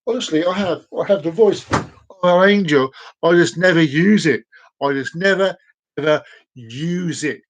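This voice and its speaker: A man's voice: a little high, normal speed. A man hosting a casual solo live stream, rambling and self-mocking, breaking into off-key singing now and then. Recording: dull lo-fi sound.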